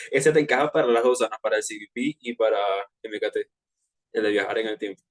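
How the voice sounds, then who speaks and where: A man's voice: medium pitch, normal speed. Young Venezuelan man, chatty and casual. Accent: Venezuelan Spanish. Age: 20s. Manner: relaxed and chatty, with frequent filler hesitations and slang. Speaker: student presenter of a finance workshop. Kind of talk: casual online presentation to peers.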